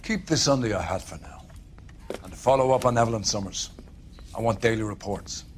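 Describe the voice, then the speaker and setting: An actor playing a weary, authoritative middle-aged police captain in a 1940s crime game. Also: male voice, on the low side, brisk, soft background music, natural voice. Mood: commanding.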